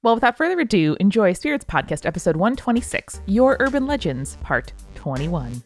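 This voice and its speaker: A woman's voice: mid-range voice, sing-song, brisk, soft background music. A woman hosting a podcast, chatting casually with dry, teasing humor and reading out a listener's email. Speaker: podcast host.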